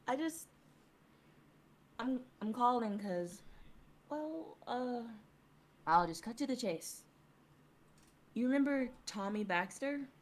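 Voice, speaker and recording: A young woman playing a nervous, haunted old schoolmate, halting and hesitant, in a dramatic script reading. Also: mid-range voice, natural voice. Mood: awkward, nervous. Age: young adult.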